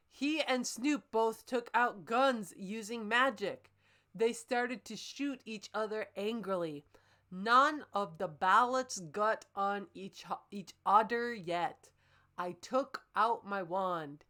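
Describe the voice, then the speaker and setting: A woman's voice: medium pitch, takes it slowly, sharp tone. A woman hosting a comic reading, moving between dramatic narration and casual joking commentary. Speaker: host reading and critiquing fan fiction.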